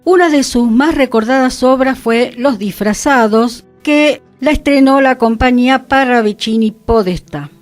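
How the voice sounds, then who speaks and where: A woman's voice: high-pitched, a little slow, hardly any pauses. A woman with an Argentine accent hosting a cultural radio talk, explaining things in a reflective, conversational way. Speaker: radio host. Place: radio studio. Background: music.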